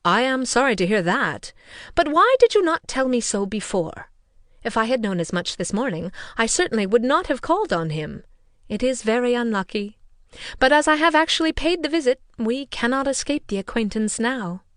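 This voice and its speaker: A woman voicing a dry, ironic old gentleman who teases his family in measured tones. The pitch still moves lively under the irony, close and dry in a storybook reading. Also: dry recording. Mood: teasing. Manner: wry, measured, teasing. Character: dry, teasing, ironic older gentleman father.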